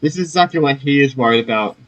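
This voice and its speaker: A man's voice: medium pitch, racing, fairly bright tone, lo-fi sound, slight background noise. A man doing casual playthrough commentary, reading game dialogue aloud and muttering off-the-cuff asides. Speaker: gaming streamer.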